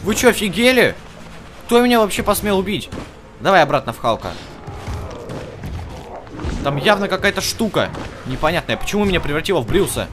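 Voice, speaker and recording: A man's voice: high voice, very animated, a little fast, long pauses. A man hosting a gaming video with casual let's play commentary, thinking aloud and talking to the characters on screen. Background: music behind the voice.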